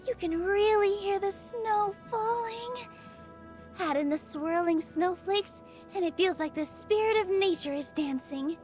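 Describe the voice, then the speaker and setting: A woman voicing a gentle, sunny young dancer in a video game, earnest and encouraging, with a sweet, coaxing manner. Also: extremely high pitch. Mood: delighted, dreamy. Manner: sweet, soft-hearted, bubbly, coaxing. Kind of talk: scripted video game character lines.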